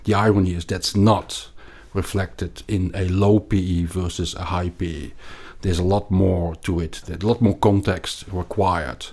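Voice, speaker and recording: A man with a Belgian accent explaining things as an interview guest, haltingly and emphatically, often repeating words. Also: low voice, steady tone, a little mellow. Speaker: podcast guest, investment analyst.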